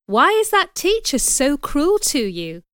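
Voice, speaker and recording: A woman's voice: high voice, wildly swooping pitch, a little slow, a bit bright, no room sound, studio quality, voice pushed up, full character voice. A woman voicing an outspoken, sensitive young schoolgirl in an audiobook, speaking with emotion and emphasis. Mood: curious, concerned. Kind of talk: audiobook character voice.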